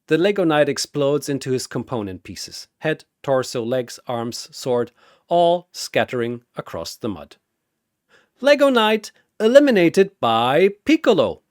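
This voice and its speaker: Male narrator for fantasy battle story: theatrical, measured and slow, in a dry recording. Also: mid-range voice, very animated, no echo. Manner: theatrical, deliberate. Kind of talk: dramatic scripted battle narration.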